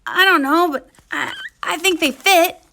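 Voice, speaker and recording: A woman voicing an eager young boy who longs for something, in an expressive children's picture book reading. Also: extremely high pitch, unhurried, creaky, a little hiss or hum, pitched above the performer's own voice, full character voice. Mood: unsure, eager. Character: eager young boy longing for cool shoes.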